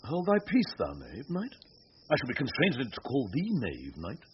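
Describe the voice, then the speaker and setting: A playful household jester, teasing and mock-solemn in classic radio Shakespeare. His intonation jumps about in a middle-pitched voice. The recording is a little dull and faintly noisy. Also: huge pitch swings, normal speed. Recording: muffled radio drama, faint noise.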